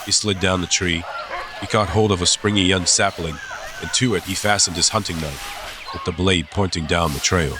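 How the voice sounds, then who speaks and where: A man narrating a dramatized audiobook with suspenseful, deliberate phrasing. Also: deep voice, fairly even intonation. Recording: clear background ambience noise.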